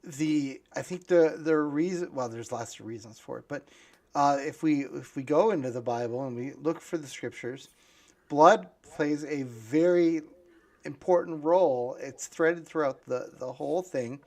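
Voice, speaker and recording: A man's voice: on the high side, measured pace, darkish tone. A man, an author, talking in a casual discussion, hesitant and thinking aloud as he goes.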